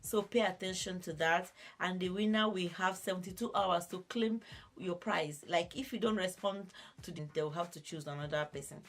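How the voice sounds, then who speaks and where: A woman's voice: fairly low pitch, moderate pace. A woman with a voice cracked from a cold, explaining a giveaway in a casual, hesitant way with many fillers. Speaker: beauty vlogger, host.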